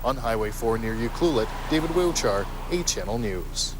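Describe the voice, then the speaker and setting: A man's voice: medium pitch, lively intonation, moderate pace, noisy background. A man with a Canadian accent reading scripted television news narration as a reporter.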